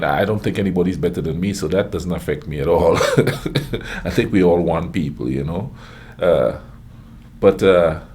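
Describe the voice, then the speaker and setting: A man's voice: low-pitched, darkish tone, a little hiss or hum. A middle-aged man with a Caribbean accent, hosting a reaction video and chatting casually, with plenty of fillers and restarts. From Grenada. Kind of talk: casual chat reacting to clips.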